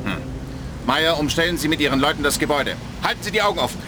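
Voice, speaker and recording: A man's voice: a little high, expressive, fast, long reverb tail, background noise, natural voice. A man playing a bossy police inspector in a children's radio play, commanding and officious. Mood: brisk, questioning.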